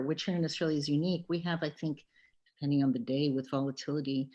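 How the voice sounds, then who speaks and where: A woman from Brazil with an American accent, introducing herself as a board director on a webinar panel in a conversational way, over a video call. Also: lowish voice. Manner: animated, conversational, with fillers.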